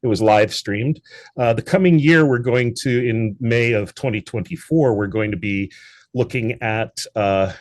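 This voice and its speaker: A man's voice: mid-range voice, a little slow, no room sound. An articulate, enthusiastic man speaking conversationally as a guest in an interview. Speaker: interview guest, theatre founder and producer.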